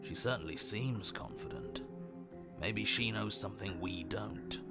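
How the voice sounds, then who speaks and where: A gruff, very deep hero voice. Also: male voice, unhurried, over loud music. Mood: suspicious. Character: blunt suspicious inner voice of a hero. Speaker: game character.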